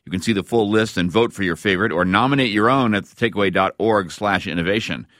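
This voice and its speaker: A man's voice: fairly low pitch, quick pace, fairly bright tone, no room sound. An American man hosting a radio show with scripted narration in a studio. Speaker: radio host.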